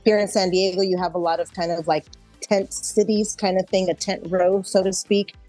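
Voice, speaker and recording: A woman's voice: fairly low pitch, little pitch movement, moderate pace, dark tone, faint music behind, rough recording. A woman with an American accent chatting casually as an interview guest, explaining things in a reflective way. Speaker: interview guest, actor and improv performer.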